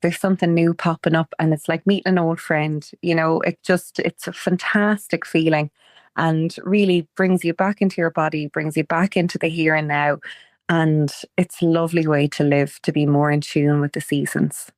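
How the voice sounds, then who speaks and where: A woman's voice: low-pitched, little pitch movement, moderate pace, darkish tone, dry recording, clean studio sound. A young Irish woman talking enthusiastically and chattily in a casual conversation, with a frequent "like". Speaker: guest.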